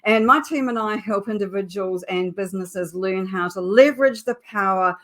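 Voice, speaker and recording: A middle-aged woman with a New Zealand accent, hosting a live online business show and opening it with a measured, emphatic scripted introduction. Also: mid-range voice, a bit bright. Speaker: host.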